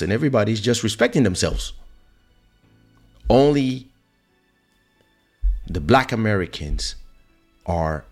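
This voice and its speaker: A man who grew up in France, speaking as he hosts a casual, opinionated monologue to viewers, emphatic and repetitive, with rhetorical questions. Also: on the low side. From born in Africa, grew up in France. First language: French. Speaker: online show host.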